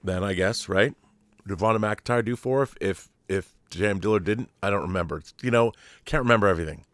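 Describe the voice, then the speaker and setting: A man's voice: on the low side, brisk, a bit bright, no room sound. A man hosting a pop culture show with a grandiose, playful, theatrical manner. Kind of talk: showy scripted-sounding intro and casual fan discussion.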